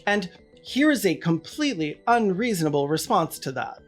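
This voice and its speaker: A woman's voice: fairly low pitch, big pitch swings, normal speed, faint music behind. A woman video essayist delivering scripted commentary in a sardonic, theatrical manner.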